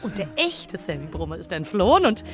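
An excitable radio reporter in a children's audio drama. Her rather low voice moves with lively pitch over background music. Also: normal speed. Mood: breathless commentary. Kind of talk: on-air commentary in a children's radio play.

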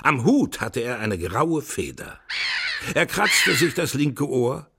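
A man's voice: medium pitch, wildly swooping pitch, takes it slowly, bright, forceful tone, slight background noise, full character voice. A man narrating a children's radio play as its storyteller, reading scripted prose. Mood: descriptive.

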